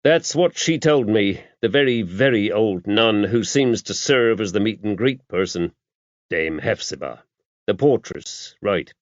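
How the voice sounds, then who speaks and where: A man's voice: on the low side, animated, measured pace, no room sound. Man voicing wry, incredulous investigator with emphatic lifts. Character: Cotton, fastidious male investigator, incredulous and wry. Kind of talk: audiobook character dialogue. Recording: dry, dull lo-fi audio.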